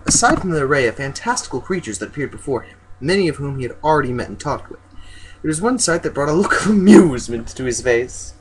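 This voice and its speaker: Guy reading fan fiction out loud, theatrical and goofy, swoopy pitch, some background hiss. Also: big pitch swings, fast, poor audio. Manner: goofy, theatrical, breaks off into joking asides. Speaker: amateur story reader.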